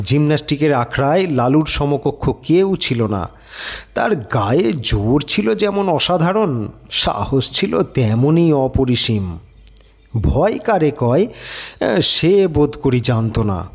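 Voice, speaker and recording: Slow, animated man narrating a story. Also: fairly high pitch. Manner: expressive storytelling, acts out characters' lines. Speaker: audiobook narrator. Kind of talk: scripted literary story narration.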